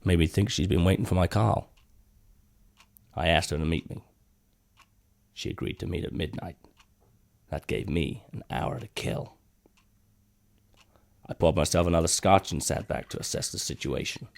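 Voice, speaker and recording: A man voicing a cynical, cold, hard-boiled cop in a brooding, terse noir story narration, with a low, gravelly voice. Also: moderate pace, long pauses. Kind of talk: noir first-person scripted narration. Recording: music bed behind the voice.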